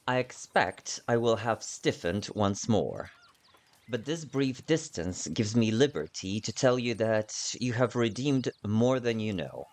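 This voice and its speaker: Male gamer, lower register, lively melodic delivery, casual remarks and read-aloud narration. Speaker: gamer narrating own playthrough. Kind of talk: casual commentary and reading in-game text aloud.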